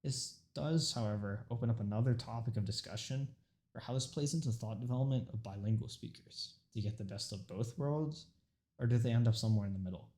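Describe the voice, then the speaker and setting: A young man whose first language is Urdu gives a prepared informative speech, earnest and mostly steady, with a few stumbles and restarts as he reads. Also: medium pitch, fairly quick. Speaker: student speaker.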